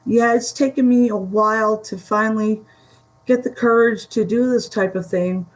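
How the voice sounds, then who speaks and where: A woman from the Buffalo area with a Western New York Great Lakes accent, chatting slowly with many pauses on a live stream from home. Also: fairly high pitch, steady tone, low-quality recording. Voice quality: gravelly. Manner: slow, halting, many fillers. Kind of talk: casual live stream chat. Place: home room.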